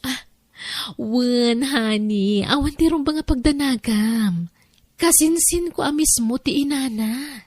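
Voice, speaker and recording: Woman acting high-voiced, coaxing Ilocano wife. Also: takes it slowly, darkish tone, dry recording. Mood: persuasive, insistent. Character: coaxing, insistent wife pushing to adopt. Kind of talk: Ilocano radio-style drama acting.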